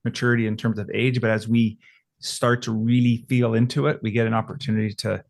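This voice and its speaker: A man in his fifties hosting a podcast, asking questions in a reflective, halting way with frequent restarts. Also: mid-range voice, little pitch movement, vocal fry. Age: 50s. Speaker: podcast host. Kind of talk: casual interview conversation.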